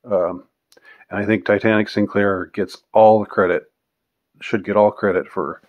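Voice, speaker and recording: A man in his fifties with a fairly low voice talks casually as a vlogger taking questions. He speaks off the cuff in a halting, rambling way, often letting a thought trail off before picking it up again. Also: level tone, phone-quality sound. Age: 50s. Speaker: vlogger answering viewer questions. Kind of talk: casual unscripted question-and-answer monologue.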